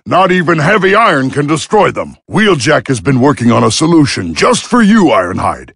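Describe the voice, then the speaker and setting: An actor playing a gruff robot medic soldier in a video game, with a raspy voice and a dry, urgent delivery. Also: male voice, on the high side, sing-song, moderate pace, a bit bright, no echo, full character voice. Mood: focused, commanding. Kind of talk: scripted video game battle dialogue.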